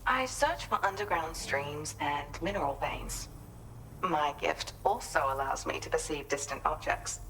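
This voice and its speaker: Casting: kind, grateful woman inventor for game cutscenes; lowish voice, polite and articulate, faint noise behind. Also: unhurried, a bit bright, low-quality recording. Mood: friendly, explanatory. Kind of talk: scripted video game cutscene dialogue.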